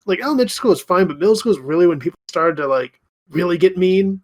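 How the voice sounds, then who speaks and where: Casual man with a slightly high voice. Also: expressive, quick pace. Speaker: podcast co-host. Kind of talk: casual chat.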